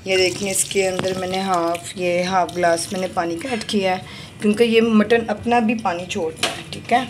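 A woman's voice: medium pitch, steady tone, moderate pace. A woman vlogger walking through a home cooking recipe step by step in casual chat, pointing things out as she goes. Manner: chatty, repeats "ye dekhiye" while showing each step. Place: home kitchen. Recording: kitchen sizzle and noise behind.

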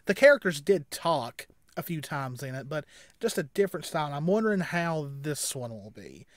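A man in his thirties giving casual, rambling reaction commentary as a co-host, halting and repeating words as he explains. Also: on the high side, sing-song, no echo. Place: small indoor room at home.

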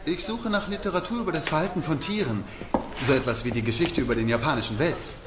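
A man's voice: on the high side, fast talker, roomy sound, background noise, natural voice. An actor playing an eager, stubborn and playful young male scientist in docudrama dialogue. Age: young adult.

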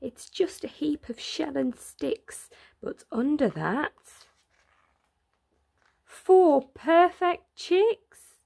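A woman reading a rhyming children's picture book aloud in an expressive, deliberate way, drawing out words for emphasis. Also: sits high, creaky. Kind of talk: expressive read-aloud of a rhyming bedtime story.

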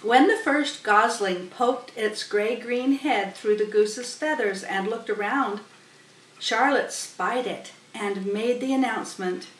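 Middle aged lady reading a kids book, really unhurried, echoey room, bit far from the mic. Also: fairly high pitch. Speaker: storybook reader. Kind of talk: read-aloud children's story narration. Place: small room indoors. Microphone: webcam a little way off. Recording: roomy, distant webcam microphone.

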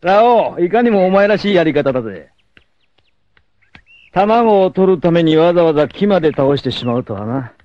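A man playing a cocky, free-spirited, fearless martial-arts warrior in a dramatic 1980s anime, with theatrical, rough swagger. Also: a little high, lively intonation, moderate pace, a little mellow, no room sound, dull audio, close to an everyday voice. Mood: teasing, amused.

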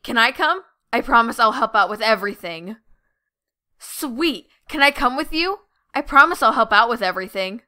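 A woman's voice: fairly high pitch, sharp tone, full character voice. A woman voicing an eager, spirited youngster in an exclamatory way for a character audition. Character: eager, spirited youngster keen to tag along.

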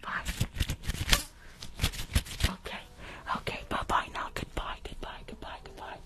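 A young woman playing a rushed, shady dentist in an ASMR roleplay, hurried and bossy, repeating herself in a breathy whisper. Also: natural voice. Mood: brisk, smug. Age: young adult. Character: rushed, shady female dentist stealing patients' teeth. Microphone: close microphone with earphones.

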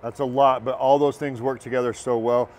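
A man, giving a relaxed, encouraging cooking lesson in a large teaching kitchen. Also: mid-range voice, fairly quick. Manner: relaxed, encouraging, talks while working. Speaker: culinary instructor. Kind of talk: cooking demonstration.

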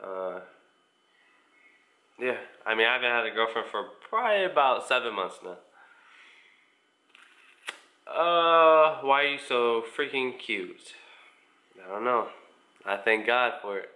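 A man's voice: medium pitch, animated, unhurried, pauses often, bright, forceful tone. A man of about twenty with an American accent, chatting casually to camera as he answers viewer questions in a laid-back, informal way. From United States, mostly Indiana. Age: around 20. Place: small living room at home, indoors.

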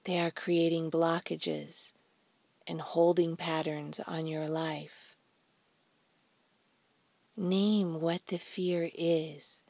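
A woman leading a guided meditation in a soft, breathy, soothing voice, speaking deliberately in short phrases with pauses. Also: deep voice, flat delivery, no room sound. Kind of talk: slow guided meditation narration.

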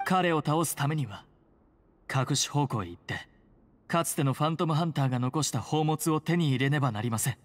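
An actor playing a polite, earnest prison guard who secretly helps heroes, speaking formally and solemnly in a game. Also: male voice, on the high side, animated, brisk, lightly acted. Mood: grave, urgent. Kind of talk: Japanese game voice acting. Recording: long reverberant hall.

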